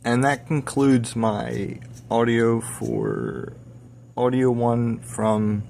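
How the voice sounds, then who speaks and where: A man speaking an earnest, confessional personal monologue, halting with many restarts. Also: fairly low pitch, slight background noise. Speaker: personal audio diarist.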